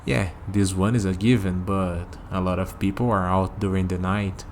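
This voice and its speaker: Man acting as a curious skeptical young man, low voice, unhurried hesitant delivery, ASMR roleplay, noisy background. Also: level tone, a little mellow. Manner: hesitant, conversational, leaves pauses for unheard replies. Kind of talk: ASMR roleplay spoken to the listener.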